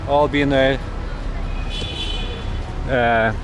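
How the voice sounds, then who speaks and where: A middle-aged man from Europe narrating a travel vlog as he walks busy city streets, halting and pausing often on filler sounds. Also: mid-range voice, steady tone, over music. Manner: hesitant, halting, many filler pauses. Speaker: travel vlogger. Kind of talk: casual walking narration. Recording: outdoor street noise.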